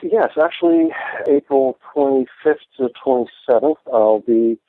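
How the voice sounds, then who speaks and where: A man's voice: medium pitch, a little slow, no room sound. An American man speaking casually as an interview guest over a thin, phone-like call. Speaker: podcast guest, artist.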